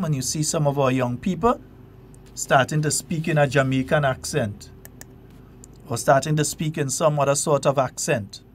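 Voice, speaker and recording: A Grenadian man hosting a call-in radio talk show, talking with passion and emphasis. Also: fairly high pitch. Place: radio studio.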